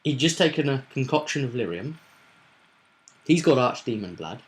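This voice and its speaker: A man's voice: medium pitch, sing-song, moderate pace. A man chatting as a game master, recounting a tabletop roleplay session with emphatic, dramatic storytelling.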